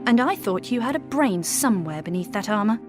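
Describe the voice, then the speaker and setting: A woman's voice: medium pitch, expressive, fairly quick, close to an everyday voice. An actress playing a stern, exasperated elder-sister noblewoman in a video game, speaking in a curt, scornful way. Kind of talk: video game character acting. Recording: game music behind.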